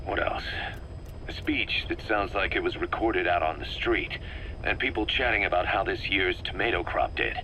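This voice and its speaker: A man playing an analytical, wary military second-in-command in a video game, explaining things in a measured, methodical way. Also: low-pitched, bright, forceful tone, slight background noise, rough recording. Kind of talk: scripted video game cutscene dialogue.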